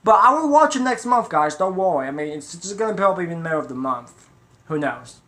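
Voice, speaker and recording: Guy doing a reaction vid, kinda high bright voice, rambles and repeats himself talking to the viewers. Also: lively intonation, fast talker. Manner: rambling, repetitive, addresses viewers directly. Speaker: reaction video host. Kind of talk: casual trailer reaction chat.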